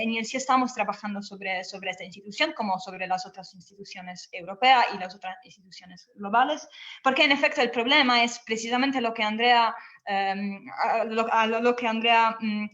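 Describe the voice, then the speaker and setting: A woman who is not a native Spanish speaker talks on an online panel, haltingly, often repeating words as she searches for phrasing. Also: fairly high pitch, fairly bright tone. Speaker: activist on a panel. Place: online video call. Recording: muffled lo-fi online call audio.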